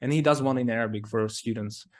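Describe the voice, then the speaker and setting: A man's voice: medium pitch, fast talker, a little mellow. A man as an interview guest in casual conversation, talking in loose, rambling sentences full of "like". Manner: rambling, heavy use of "like".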